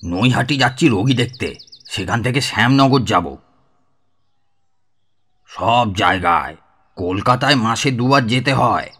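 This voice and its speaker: An actor playing a boastful, chatty village tantric healer who tells ghost tales in a Bengali radio drama, with a Bengali accent. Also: male voice, mid-range voice, animated, unhurried, pauses often, a bit bright, slightly rough, heavily acted. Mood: boastful, casual. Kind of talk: Bengali audio drama acting.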